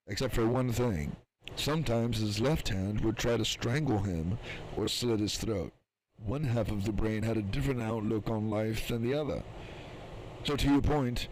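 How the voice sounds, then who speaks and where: A man acting as a thoughtful, philosophical scientist in an audio drama, speaking in a measured, explanatory, reflective way. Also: mid-range voice, slight background noise, lightly acted, low-quality recording. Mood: musing, intent.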